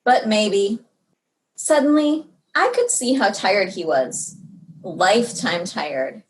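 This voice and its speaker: A woman reading a storybook aloud to young children in an expressive way, drawing out words for dramatic emphasis. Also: mid-range voice, slow pace, a little hiss or hum, poor audio.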